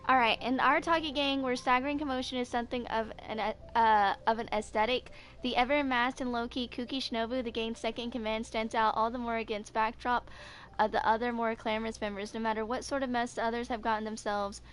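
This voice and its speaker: A woman's voice: a little high, fairly even intonation, quick pace, fairly bright tone. A woman in her twenties reading game character stories aloud with casual commentary in an amateur recording. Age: 20s. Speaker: gaming video maker.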